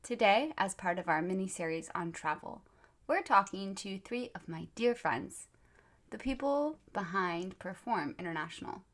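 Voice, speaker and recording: A woman hosting a music education podcast, upbeat and quick to laugh. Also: medium pitch, lively intonation. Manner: warm, upbeat, laughs easily. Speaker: podcast host. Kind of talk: podcast intro and interview.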